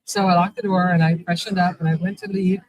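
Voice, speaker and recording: A woman's voice: fairly low pitch, flat delivery, quick pace, no echo, poor audio. Chatty middle-aged woman telling a story. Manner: chatty, rambling, many restarts. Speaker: guest, vampire shop owner. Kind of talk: casual storytelling in a live interview. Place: convention event.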